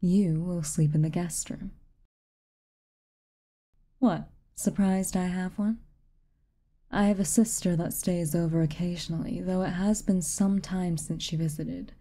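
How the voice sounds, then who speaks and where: A woman voicing a haughty, reclusive tsundere witch in a soft ASMR roleplay, aloof and commanding, at times dropping near a whisper. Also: fairly low pitch, steady tone, measured pace, pauses often. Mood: aloof, stern. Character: haughty, reclusive tsundere witch, stern but secretly kind.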